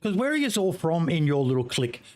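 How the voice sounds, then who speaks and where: A man's voice: a little high, big pitch swings, fast. A man hosting a podcast in casual chat, blunt and inquisitive. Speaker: podcast host.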